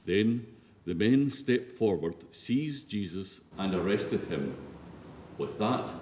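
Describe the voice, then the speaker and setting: Slow, low-voiced man in an echoey church. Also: mellow. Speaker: narrator. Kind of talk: solemn scripture reading. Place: large reverberant church. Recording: very echoey church, faint noise.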